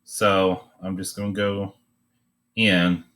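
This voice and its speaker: A man giving an informal, unscripted drawing demonstration, thinking aloud in a halting way as he teaches. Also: sits low, little pitch movement, fairly bright tone.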